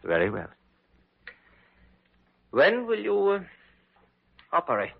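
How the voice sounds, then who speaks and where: A man's voice: high voice, wildly swooping pitch, takes it slowly, vocal fry, husky, heavily acted. An actor playing a dignified, fatalistic king facing death in an old radio drama, speaking solemnly and formally. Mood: questioning, resigned. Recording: muffled old radio recording.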